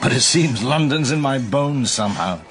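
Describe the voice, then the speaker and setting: Rueful drifter, mid-pitched. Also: male voice, animated, unhurried, soft background music. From Glasgow, Scotland. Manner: wry, rueful. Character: rueful, self-deprecating Glaswegian man back from wandering. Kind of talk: radio drama acting.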